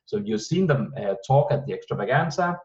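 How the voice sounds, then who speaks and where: A man hosting an online team meeting, welcoming people and announcing event details, pausing often as he searches for words. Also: lowish voice, lively intonation, a little mellow. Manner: halting, many pauses while searching for words. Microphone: video call. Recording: muffled video-call audio.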